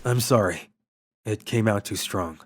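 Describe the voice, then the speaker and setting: A man's voice: on the low side, unhurried, noisy background, lightly acted. An actor voicing a cool, stern young male CEO in a manga dub, blunt and commanding in manner. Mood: softened.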